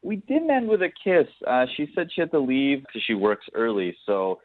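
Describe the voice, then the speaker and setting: A man's voice: medium pitch, huge pitch swings, moderate pace, no echo. A man calling in to a radio show, telling his story casually and a little hesitantly, good-natured. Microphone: telephone. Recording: thin, narrow phone line.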